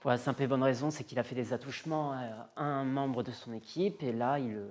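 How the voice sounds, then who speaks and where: Emphatic interview guest of medium pitch. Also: steady tone, fairly quick, a little mellow. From south of France. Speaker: guest, music artist. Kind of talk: candid interview conversation.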